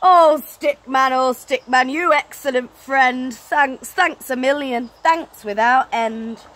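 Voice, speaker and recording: A woman plays a jolly storybook Santa Claus, loud and forceful. He exclaims and stretches his words out, raised high in pitch. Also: slow pace, slight background noise. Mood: grateful. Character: jolly Santa Claus, booming, stuck, then grateful.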